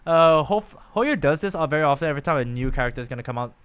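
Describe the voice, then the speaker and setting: Man, gameplay streamer, casual rambling chat, smooth clear voice, slightly high. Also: animated, rapid-fire, fairly bright tone. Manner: rambling, chatty, talks to viewers.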